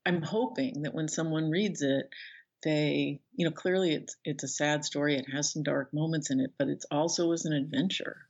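An older woman speaking as an interview guest, thoughtful and conversational, pausing as she searches for words. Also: deep voice, steady tone. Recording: slightly dull, band-limited audio.

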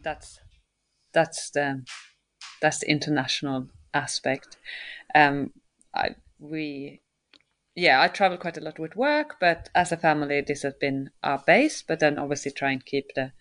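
A woman's voice: sits low, fairly bright tone, no room sound. A woman from Sweden, speaking as an interview guest, hesitant with many fillers and restarts. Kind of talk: casual interview talk.

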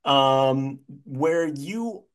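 A man with a mid-pitched voice hosts a casual interview. He is informal and self-deprecating, feeling his way toward each question in a loose, rambling way. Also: expressive, drawn-out words, smooth. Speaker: podcast host.

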